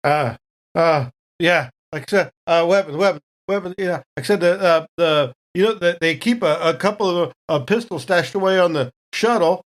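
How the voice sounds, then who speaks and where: A man puts on a rattled crewman in a sci-fi tabletop game. The voice drops low and stumbles along, mumbling and repeating words as if badly shaken. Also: lightly acted. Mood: dazed, stammering. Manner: halting, mumbling repetition. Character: stammering, rattled male spaceship crewman.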